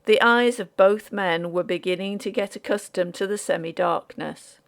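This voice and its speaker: A woman reading scripted audiobook narration in a measured, deliberate way, as for a Victorian ghost story. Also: medium pitch, vocal fry.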